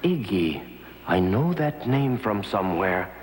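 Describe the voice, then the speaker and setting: A man's voice: lowish voice, very animated, takes it slowly, a loud crowd. A man playing an earnest, naive martial-arts pupil in children's TV comedy, deferential and stilted, dropping little words. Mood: curious. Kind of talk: theatrical children's educational TV comedy. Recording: reverberant hall, faint noise.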